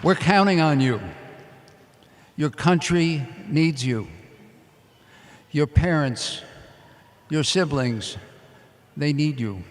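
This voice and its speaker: A man's voice: a little high, slow, long pauses. A man giving a motivational commencement speech in emphatic short, repeated phrases. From Queens, New York, United States. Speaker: commencement speaker. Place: large indoor arena at a commencement. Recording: echoey large arena, long reverb.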